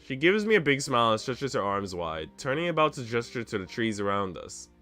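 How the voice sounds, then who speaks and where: Guy streamer reading visual novel story out loud, mid pitched, expressive, game music faintly behind him. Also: a little fast. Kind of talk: reading game narration aloud with casual commentary.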